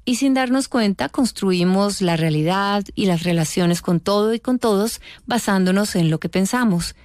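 A woman calmly narrating a scripted, instructive guided reflection exercise. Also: on the low side, dry recording, clean studio sound. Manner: calm, instructive.

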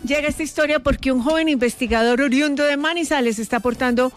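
A woman hosting a radio show, introducing a story in a lively, conversational way. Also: high voice, fast, faint music behind. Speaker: radio host.

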